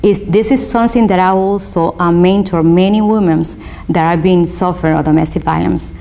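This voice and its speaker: A woman with a Spanish accent, a native Spanish speaker and law student, giving an earnest, motivational interview in halting non-native English. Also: on the low side, creaky, mellow. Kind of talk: interview, personal testimony.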